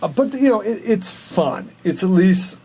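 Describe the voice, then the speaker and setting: A man with a somewhat high voice co-hosts a relaxed, informal chat. He states blunt opinions but gets there haltingly and hesitantly. There is a light layer of ambient noise behind him. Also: gravelly. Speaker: co-host. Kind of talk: casual movie chat. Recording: noticeable background noise.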